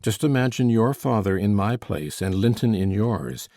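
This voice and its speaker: A man's voice: fairly low pitch, lively intonation, normal speed, darkish tone, no echo, clean studio sound. An actor playing a brooding, cruel middle-aged man who taunts menacingly and coaxes falsely. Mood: coaxing. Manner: menacing, mocking, then falsely coaxing. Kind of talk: audiobook character reading.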